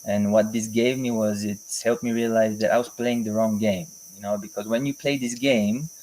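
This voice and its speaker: Guest: man, low voice, thinks aloud with many pauses, video-call sound. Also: fairly even intonation, a little mellow, slight background noise, low-quality recording. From Bulgaria. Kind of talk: casual interview. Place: home in a mountain forest.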